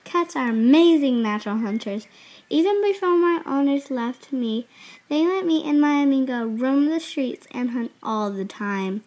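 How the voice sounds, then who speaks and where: A woman's voice: sits high, lively intonation, measured pace, darkish tone. A woman voicing a boastful stray cat who brags about hunting in a cartoon, sprinkling in Spanish words. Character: proud street cat hunter, boastful.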